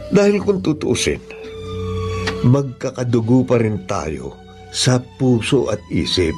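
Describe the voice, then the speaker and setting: A devoted middle-aged Filipino father in a radio drama. His voice is low and very slow, solemn and earnest, with faint music behind.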